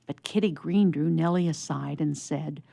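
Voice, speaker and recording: Low, creaky-voiced woman narrator. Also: normal speed, mellow, no echo. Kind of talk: scripted storybook narration for radio theatre.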